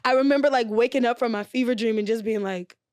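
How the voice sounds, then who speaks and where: A young woman from Pasadena with a slightly raspy voice, chatting as a guest on an interview podcast, full of fillers and quick laughs. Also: fairly high pitch, darkish tone, no echo, clean studio sound. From Pasadena, California. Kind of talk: casual interview chat.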